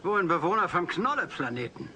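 A slick, mocking talk show host in a comedy radio play. His voice is high for a man and swoops wildly, showy and teasing, over very noisy tape. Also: big pitch swings, fairly quick. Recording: noisy old cassette transfer.

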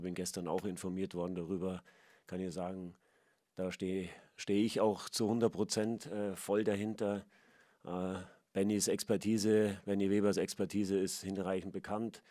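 A middle-aged man giving a halting statement as club managing director at a press conference, on a table mic. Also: deep voice, warm tone, dry recording, studio quality. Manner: halting, many filler sounds. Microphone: table microphone.

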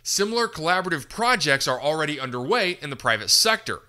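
A man's voice: on the high side, very animated, fast, pushed and bright. A man hosting a podcast, reading scripted news commentary in a polished, emphatic presenter's delivery. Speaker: podcast host.

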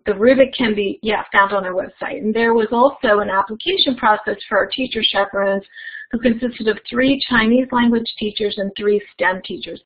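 A woman giving a conference keynote as a webinar presentation, friendly and guiding the audience through an interactive activity. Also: mid-range voice. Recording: tinny phone-like webinar audio.

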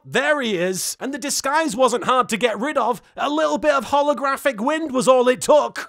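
High-pitched, animated, sarcastic man. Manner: sarcastic, exasperated, sweary. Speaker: host of an anime recap series. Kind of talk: comedic recap and reaction commentary.

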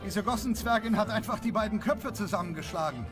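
A man's voice: high-pitched, fairly quick, over music, lightly acted. A man playing a level-headed, pragmatic leader of fantasy adventurers, watchful and decisive. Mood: guarded, decisive.

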